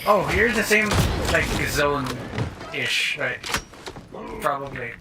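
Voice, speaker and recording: Give me an excitable, lively-voiced gamer reacting out loud. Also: mid-range voice, takes it slowly. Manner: excitable, reacts out loud to the game, laughs often. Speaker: game player. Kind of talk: casual voice chat while gaming. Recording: loud background noise.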